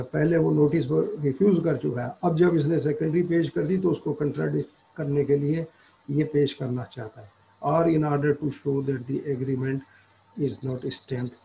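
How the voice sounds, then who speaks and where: A man giving an explanatory law lesson, moving between deliberate reading aloud and informal explanation. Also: on the high side, gravelly, dark tone, slight background noise, low-quality recording. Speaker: law lecturer.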